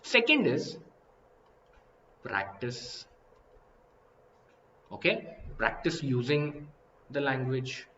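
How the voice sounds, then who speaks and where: A young man with an Indian English accent teaches an IELTS lesson live, animated, asking "right?" and "okay?" again and again. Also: mid-range voice, very slow, long pauses. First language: Hindi. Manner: animated, repeats and rephrases, asks "right?" and "okay?" often. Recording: echoey room, slightly dull sound, faint hiss.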